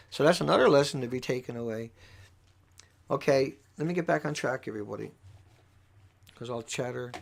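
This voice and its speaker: A man with a squeaky voice giving an impassioned, off-the-cuff livestream monologue, urgent and emphatic, repeating phrases for stress. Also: gravelly. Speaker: livestream host.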